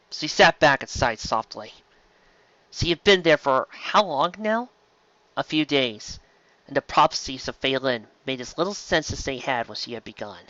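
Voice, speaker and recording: Forceful male sci-fi story narrator, dry sound. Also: medium pitch, dry recording, dull audio. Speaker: story reader. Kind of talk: dramatic narration of science fiction fan fiction.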